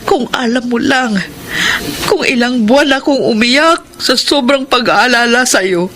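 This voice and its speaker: A widowed Filipino mother in a radio drama. She speaks tenderly and earnestly, with halting, emotive phrasing. There is clear noise behind the voice. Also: on the high side, a bit bright. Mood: shocked, hurt.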